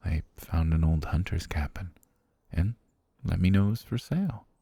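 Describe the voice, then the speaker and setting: A man voicing an obsessive, soft-spoken butler who has kidnapped his lady, talking in a hushed, half-whispered, gently menacing way for an ASMR roleplay. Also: sits low, normal speed, slightly rough, dry recording. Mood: soothing possessive. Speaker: voice actor.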